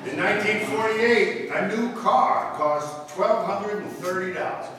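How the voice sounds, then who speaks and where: A man's voice: sits high, measured pace, a crowd in the background. A man hosting and narrating a live old-time radio show in a scripted presenting style. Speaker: host and narrator. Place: large hall, live performance. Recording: echoey hall, distant mic, clear noise.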